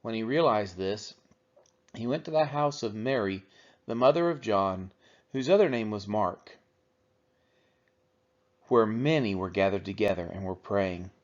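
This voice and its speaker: A man's voice: lowish voice, lively intonation, unhurried, long pauses. A man reading the Bible aloud as a narrator for a church read-along. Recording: dull, lo-fi sound.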